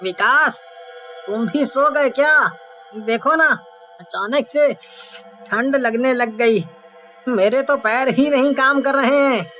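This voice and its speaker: Man as a grumbling workman, high pitch, slightly slow, bright sharp tone, cartoon dialogue, faint music. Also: exaggerated voice, poor audio. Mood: puzzled. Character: weary, grumbling male factory worker, chilly. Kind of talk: Hindi cartoon voice acting.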